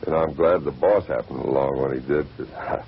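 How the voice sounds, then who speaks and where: A man's voice: extremely low pitch, brisk, creaky, breathy edge, exaggerated voice. An actor playing an easygoing henchman in a 1940s radio drama, with a laconic, folksy way of talking. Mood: amiable, wry. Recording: dull vintage radio recording.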